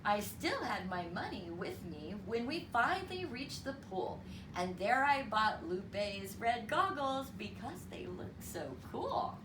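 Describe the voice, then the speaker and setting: A woman reading a story aloud to children in an expressive, deliberate, teacherly way. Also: a little high. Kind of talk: expressive read-aloud storytelling with counting lesson. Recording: roomy, distant mic with clear background noise.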